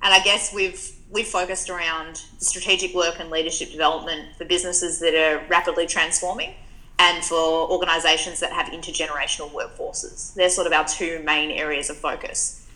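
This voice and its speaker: A woman's voice: low voice, level tone, fairly quick, pushed and bright, low-quality recording. A woman, giving reflective, story-filled answers as an interview guest. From Australia. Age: 20s. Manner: reflective, storytelling, frequent restarts.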